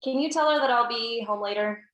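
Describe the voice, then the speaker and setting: A woman's voice: a little high, a little fast, a bit bright, dull audio, lightly acted. Guarded teen girl, subdued, pessimistic, woman at a table read, roomy echo.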